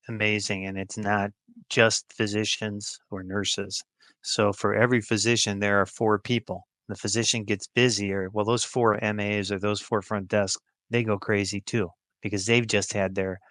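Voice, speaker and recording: A man's voice: fairly low pitch, little pitch movement, a little slow, sharp tone, no echo. A middle-aged or older American man answering interview questions in an informal, plain-spoken and emphatic way. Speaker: podcast guest, healthcare executive.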